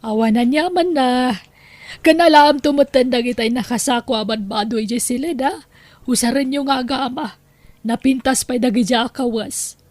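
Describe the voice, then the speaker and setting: An actress playing a generous, wealthy woman employer who speaks in a brisk way. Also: high voice, a little mellow, dry recording. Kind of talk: radio drama acting.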